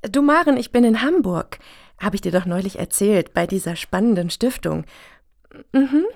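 An actress in a satirical radio play as a self-important, gushing middle-aged woman pitching her initiative with earnest jargon. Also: lively intonation, normal speed, creaky, a little mellow, no room sound, studio quality. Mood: chatty.

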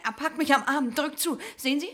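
An actress playing a passionate, distraught young married woman in a radio play, with a breathy voice. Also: high voice, fairly quick, a bit bright. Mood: hysterical, distraught. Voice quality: breathy, often dropping to whisper. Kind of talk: intimate, emotional radio drama acting.

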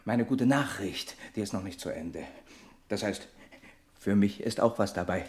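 A man's voice: on the low side, normal speed, darkish tone, lightly acted. An actor playing a hearty, persuasive trucker friend in a German radio crime drama, jovial and teasing, drawing out his announcements. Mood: matter-of-fact.

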